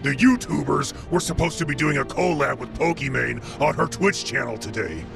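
A man's voice: fairly high pitch, expressive, moderate pace, gravelly, over music. A man voicing a mocking, scheming villain boss in a comedic cartoon, with a taunting, theatrical manner. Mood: smug, threatening.

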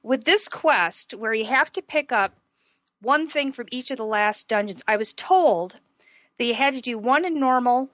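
A woman co-hosting a casual gaming podcast, slightly hoarse from a sore throat, chatty and self-deprecating, explaining at length. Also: on the high side, animated, moderate pace, a bit bright, dry recording, dull audio. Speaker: podcast co-host.

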